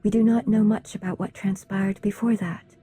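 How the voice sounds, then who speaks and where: A woman plays a solemn alien envoy in a game cutscene, her voice very dark and mellow. She is reserved and formal, measuring out each sentence with restraint. Music plays behind her. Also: mid-range voice, fairly even intonation, moderate pace, slightly rough, natural voice. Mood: solemn, measured. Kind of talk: video game cutscene dialogue.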